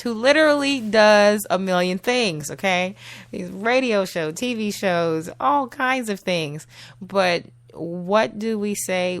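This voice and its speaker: A woman with a low, gravelly voice gone husky from a cold, talking through a solo show in a chatty, easygoing way. She laughs often and leans on little fillers. The sound is close and dry. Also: dry recording. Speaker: podcast host. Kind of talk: solo casual talk show.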